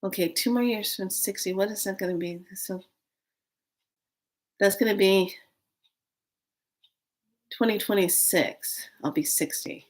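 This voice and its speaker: A woman's voice: mid-range voice, measured pace, pauses often. A woman in her late fifties chatting casually to viewers on a live stream, rambling through a story. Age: 50s. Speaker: vlogger.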